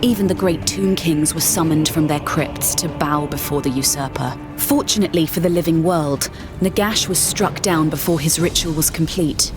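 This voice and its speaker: Brief: actress as a loyal, earnest scholar-mage, lower voice, calm explanatory delivery. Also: female voice, natural voice. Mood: storytelling. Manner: measured, explanatory storytelling. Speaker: expedition scholar. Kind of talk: game cutscene voice acting. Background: game music.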